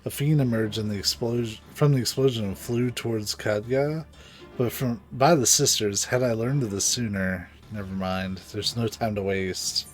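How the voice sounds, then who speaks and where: Guy reading as an earnest game guard, kinda low voice, formal, urgent, bit of music behind. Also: fairly even intonation, normal speed, lightly acted. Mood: alarmed. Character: earnest, alarmed, dutiful male guard. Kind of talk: reading game dialogue aloud.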